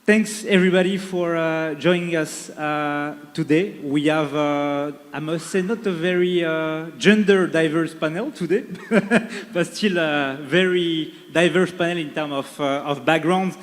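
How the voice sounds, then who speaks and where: A man from France, moderating a conference panel in an upbeat, halting way with many fillers. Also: fairly high pitch. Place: conference stage in a large hall. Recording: long hall reverb.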